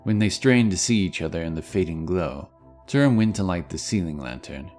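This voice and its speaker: Male storyteller, deep voice, dramatic fantasy audiobook read over background score. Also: animated, brisk, a little mellow, faint music behind. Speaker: narrator. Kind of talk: dramatic scripted fantasy narration.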